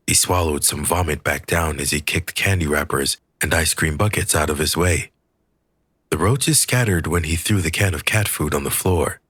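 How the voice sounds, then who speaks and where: A man reading a scripted story aloud as an audiobook narrator, with a husky voice. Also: extremely low pitch, fairly bright tone, dry recording, clean studio sound.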